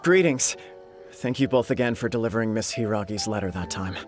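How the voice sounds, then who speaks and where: A man voicing an earnest, burdened young acting clan head in a video game, speaking in a formal, measured manner. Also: on the low side, close to an everyday voice. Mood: polite, formal. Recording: game music underneath.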